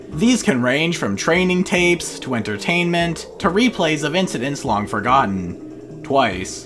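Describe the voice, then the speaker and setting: A man delivers scripted video essay narration in a fairly high voice with very animated intonation. His wording is informal and opinionated. Faint music plays behind him.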